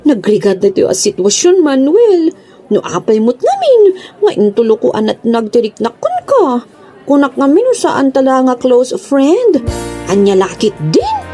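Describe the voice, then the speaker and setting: A woman's voice: high voice, wildly swooping pitch, a little slow, warm tone, soft background music, heavily acted. A woman with an Ilocano Filipino accent playing an emotional, plaintive lovestruck wife in a radio comedy drama. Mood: tearful, hurt. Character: distraught lovestruck married woman who misread a friend.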